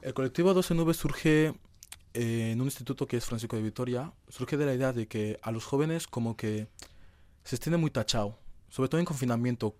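A man's voice: medium pitch, fairly quick, darkish tone, no room sound. A young man, giving conversational answers as an interview guest. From Madrid, Spain. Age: young adult.

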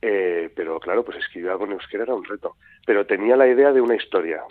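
Animated male storyteller, low voice, dry close sound. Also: fast talker, no room sound. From Madrid. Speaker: guest author. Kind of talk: radio interview. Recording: tinny phone-like radio sound.

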